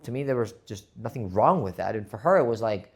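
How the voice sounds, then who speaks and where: Male podcast host, earnest motivational monologue, slightly low voice, stumbles and restarts. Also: lively intonation, fairly quick. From Spain. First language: Spanish. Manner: earnest, repeats words as he restarts.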